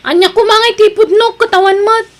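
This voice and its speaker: High-pitched sweet Filipino teenage girl played by an actress. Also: steady tone, brisk. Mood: defensive. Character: teenage high school daughter, sweet, evasive, secretly pregnant. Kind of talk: Filipino radio-style family drama acting.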